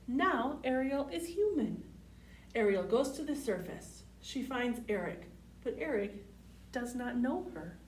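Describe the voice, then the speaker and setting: Slow, lilting woman reading to children, roomy sound. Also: fairly high pitch. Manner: simple short sentences, expressive, addresses children with questions. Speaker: library storytime host reading picture books. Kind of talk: children's read-aloud with asides to young listeners. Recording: roomy, distant mic, faint background noise.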